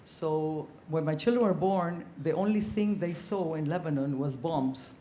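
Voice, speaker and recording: Older woman, mellow voice in an echoey hall. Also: low-pitched, measured pace, a little hiss or hum. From Ecuador. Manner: earnest, reflective, frequent ums, growing animated. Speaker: panelist, former ambassador. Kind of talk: panel discussion storytelling. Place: conference hall.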